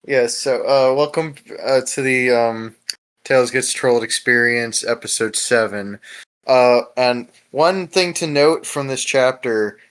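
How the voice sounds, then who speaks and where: A man hosting a casual discussion podcast, speaking in an informal, halting way. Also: medium pitch, a bit bright, smooth. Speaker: host.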